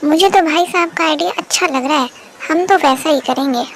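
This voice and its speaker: Very high-voiced worried cartoon mother, acted by a woman. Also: a little fast, vocal fry, a little hiss or hum. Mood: stubborn, worried. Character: worried, stubborn village mother of a truant son. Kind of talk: Hindi moral story cartoon dubbing.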